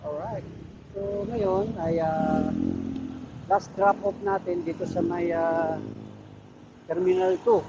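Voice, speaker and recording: A man with a Filipino accent chatting casually and haltingly as a motorcycle vlogger, recorded on a wireless mic while riding. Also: high-pitched, steady tone. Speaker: motorcycle vlogger and ride-hailing rider. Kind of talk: casual motovlog chat. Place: outdoors on a motorcycle on city roads. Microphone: wireless Bluetooth mic while riding. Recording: dull wireless mic, loud traffic noise. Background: loud vehicle and road noise.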